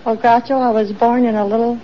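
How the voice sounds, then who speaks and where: A woman's voice: fairly high pitch, level tone, fast, a little hiss or hum. A woman from Kansas who chats as a quiz contestant in a folksy, hesitant way. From Kansas, United States. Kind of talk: casual chat on a quiz show. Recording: dull old broadcast recording.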